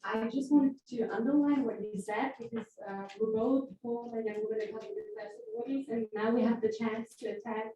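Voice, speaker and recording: A woman's voice: a little high, steady tone, a little fast, few pauses, warm tone, highs cut off, a little hiss or hum. A woman giving a supportive comment from the audience at a workshop. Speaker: workshop participant. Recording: echoey hall, far from mic.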